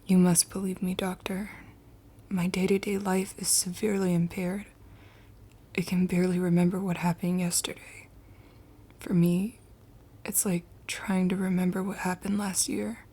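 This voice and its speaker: A woman playing a troubled, weary male patient in a horror manga dub, hushed, earnest and haunted. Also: lowish voice, level tone, normal speed, breathy edge. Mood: anxious pleading.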